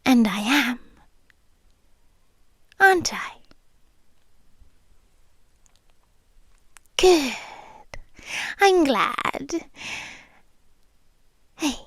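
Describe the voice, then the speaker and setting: Woman playing a slow, high-voiced British vampire girlfriend. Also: lively intonation, no room sound, studio quality, heavily acted. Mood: tender, intimate. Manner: slow, hushed, lingering, coaxing with soft pauses. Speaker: ASMR roleplay performer. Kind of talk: intimate girlfriend roleplay ASMR, often whispered. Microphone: close microphone, dry.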